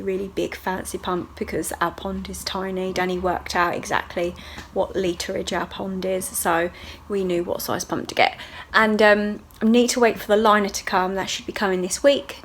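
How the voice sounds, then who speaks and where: A woman's voice: medium pitch, flat delivery, moderate pace, few pauses, slight background noise. A woman, a hairdresser chatting casually to her viewers in a video diary, rambling from one thing to the next. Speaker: vlogger and hairdresser.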